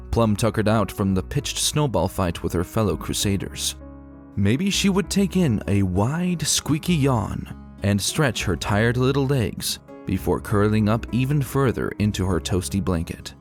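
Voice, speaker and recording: Low, expressive male storyteller over music. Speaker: narrator. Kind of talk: dramatic storybook narration. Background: background music.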